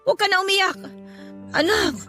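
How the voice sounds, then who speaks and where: A middle-aged mother in a radio drama. Her voice is high, soothing and apologetic as she coaxes her family. Light music sits behind her. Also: normal speed, bright, forceful tone. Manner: coaxing, apologetic. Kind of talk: Tagalog radio drama acting.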